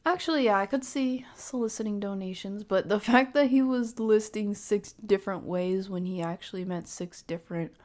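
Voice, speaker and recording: A woman streamer giving casual reaction commentary, measured and hedging as she thinks aloud. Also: mid-range voice.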